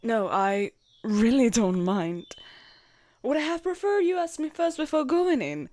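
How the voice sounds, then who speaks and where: Young female knight commander, played by a woman, audio roleplay. Formal, loyal, easily flustered; unhurried delivery, lively intonation. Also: fairly high pitch. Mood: fond, gentle. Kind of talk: roleplay audio drama acting.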